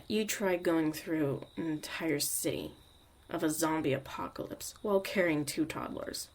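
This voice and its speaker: A woman playing a young witch mother, a tough, protective and caring survivor, in an intimate, halting, confiding ASMR roleplay monologue. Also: lowish voice, darkish tone, husky, heavily acted. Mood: bitter, wry.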